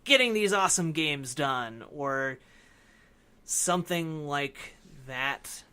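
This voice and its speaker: A man's voice: a little high, animated, pushed and bright, clean tone. A man co-hosting a podcast, discussing films casually and often stopping to correct himself mid-thought. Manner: halting, self-correcting speech. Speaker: podcast co-host.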